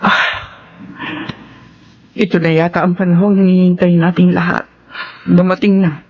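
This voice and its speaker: A woman's voice: on the low side, little pitch movement, normal speed, dull audio. An actress with a Filipino accent playing an anxious, determined mother in an emotional melodrama. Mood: firm, resolute.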